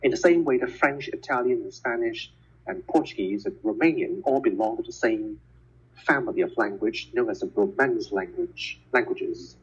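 A man whose first language is Cantonese, a physicist and educator, speaking in a measured, explanatory way with frequent filler words during a casual conversation over a call. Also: fairly low pitch, breathy edge, highs cut off.

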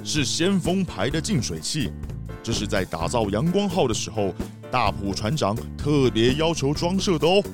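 A man playing a polite, genial city mayor in a children's audio drama, courteous and expressive. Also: mid-range voice, moderate pace, over music, lightly acted.

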